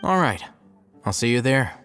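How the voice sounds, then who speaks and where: Aloof young male scholar for a game: medium pitch, dry wit, faint music underneath. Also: normal speed. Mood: mildly annoyed. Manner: deadpan, curt, dry. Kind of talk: video game voice acting.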